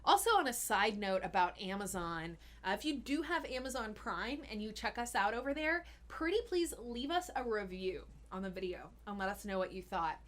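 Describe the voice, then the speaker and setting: A woman's voice: mid-range voice, expressive, moderate pace, hardly any pauses. A young woman hosting a casual live-stream Q&A as a health coach, chatty and giggly with lots of fillers. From Austin, Texas.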